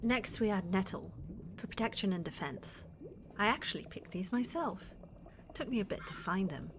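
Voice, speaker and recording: A woman's voice: medium pitch, lively intonation, moderate pace, background noise, natural voice. A woman playing a flirty, controlling witch mistress in a medieval fantasy ASMR roleplay, coaxing and genteel in her manner. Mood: teasing. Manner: coaxing, genteel, calls others dear.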